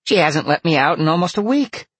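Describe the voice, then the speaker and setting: A man's voice: on the high side, lively intonation, brisk, fairly bright tone, slightly rough, dry recording, voice pushed up. A man voicing a sly, flirtatious, irreverent female fox in an audiobook, teasing and grumbling. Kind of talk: audiobook character acting.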